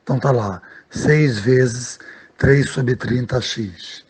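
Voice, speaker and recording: A man talks through a math lesson at a steady, patient pace. His voice is very husky and raspy, sitting at an ordinary middle pitch. He keeps checking in with short little questions as he explains each step. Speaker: math teacher.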